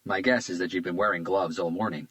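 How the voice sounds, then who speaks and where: A man's voice: sits low, fast, a bit bright, no echo. A male narrator voicing an observant, understated detective who talks in a modest, matter-of-fact way.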